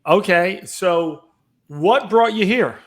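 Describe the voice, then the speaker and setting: A man with a notably high voice hosts the interview. He talks in an upbeat, chatty way and fills pauses with fillers. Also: expressive. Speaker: host, real estate business owner. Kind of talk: interview hosting.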